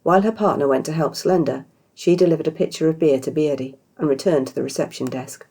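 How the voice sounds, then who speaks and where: A woman with a British accent, reading a fantasy story aloud as an expressive narrator. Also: low voice, fast, husky. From Britain. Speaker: author reading her own story.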